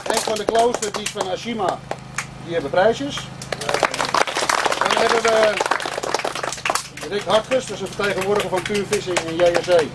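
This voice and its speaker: A man's voice: high voice, moderate pace, long pauses, heavy background noise. A middle-aged man giving an informal thank-you speech to a group as event host, projecting his voice off the cuff. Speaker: event host handing out prizes. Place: open-sided party tent outdoors. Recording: loud crowd and music behind. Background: crowd of children, music.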